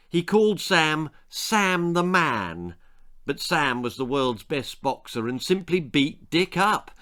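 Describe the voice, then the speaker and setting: Bright, very animated English storyteller, male. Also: mid-range voice. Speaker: author reading his own story. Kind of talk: dramatic children's storybook narration.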